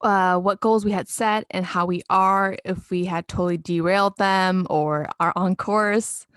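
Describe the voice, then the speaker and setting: A woman's voice: medium pitch, steady tone, unhurried, fairly bright tone, clean tone, no room sound, clean studio sound. A young woman with an American accent, chatting casually and informally as a podcast co-host, giggly in manner. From California, United States. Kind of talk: casual chat.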